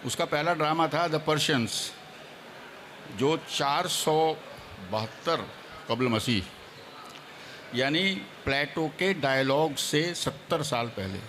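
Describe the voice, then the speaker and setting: Panelist, male. Slightly high pitch, formal lecturing delivery with English terms, faint background noise. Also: animated, slow pace, long pauses, a bit bright. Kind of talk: formal panel discussion talk.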